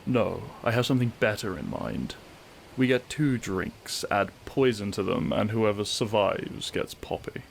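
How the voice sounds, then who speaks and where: An actor playing a brash, possessive warrior prince in a romantic roleplay audio drama, gruff, boastful and taunting. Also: male voice, lowish voice, expressive, unhurried, a little hiss or hum. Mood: combative. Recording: music under the voice.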